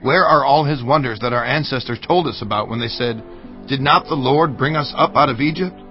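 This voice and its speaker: An actor playing a humble, anxious Israelite farmer, earnest and pleading, in a dramatized scripture production. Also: male voice, mid-range voice, a little fast, lightly acted. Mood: doubtful, pleading. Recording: muffled audio with faint music.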